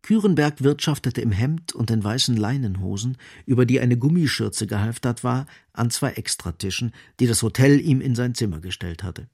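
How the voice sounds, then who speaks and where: A man narrating a literary radio play in an observant, expressive manner, with a slightly husky voice. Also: mid-range voice, moderate pace, few pauses. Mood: observant, measured.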